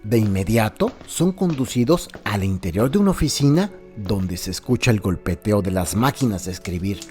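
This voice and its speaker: A man's voice: fairly low pitch, expressive, normal speed, mellow. A man with a Mexican accent giving theatrical, descriptive scripted narration for a radio crime serial. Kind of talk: dramatized scripted narration, present-tense guided tour. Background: background music.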